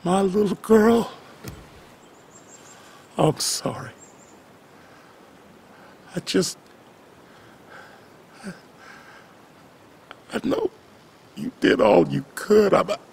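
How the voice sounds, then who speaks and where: A man playing a broken, bitter, grieving old father in a video game, gravelly and halting, with faint noise behind him. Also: a little high, sing-song, darkish tone, full character voice. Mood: tearful, remorseful. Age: older. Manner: halting, raw, emotionally heavy delivery. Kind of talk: dramatic video game acting.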